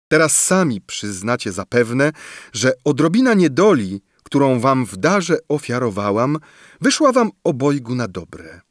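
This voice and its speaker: A man reading a storybook and voicing a kindly old female fairy godmother who speaks warmly and solemnly. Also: mid-range voice, no room sound, studio quality, voice pushed up. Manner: warm, solemn, lecturing. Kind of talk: audiobook storytelling.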